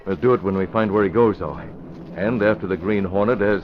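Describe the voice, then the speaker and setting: A man acting a suspicious, authoritative vigilante hero in an old radio drama, giving deliberate orders. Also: fairly low pitch, natural voice. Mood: decisive. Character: decisive, suspicious male crime-fighting hero. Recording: muffled, noisy old radio recording.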